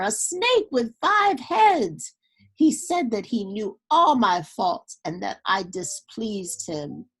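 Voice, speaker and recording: A woman's voice: medium pitch, slightly rough, voice pushed up, exaggerated voice. A woman voicing a frightened, hysterical young woman from a storybook, pleading urgently.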